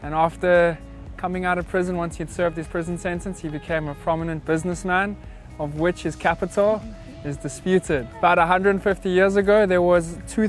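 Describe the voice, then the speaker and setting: A young man, a boat captain, casually telling a local legend outdoors on a sailboat deck, relaxed with frequent ums. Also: high-pitched. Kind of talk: casual storytelling.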